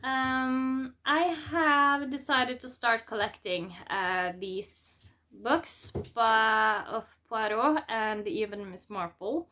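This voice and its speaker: A woman's voice: fairly high pitch, slow pace, bright, forceful tone, slight background noise. A woman from Norway with a Norwegian accent, a native Norwegian speaker, chatting casually as she presents books as a vlogger. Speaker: book vlogger presenting a haul. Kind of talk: casual chat to camera.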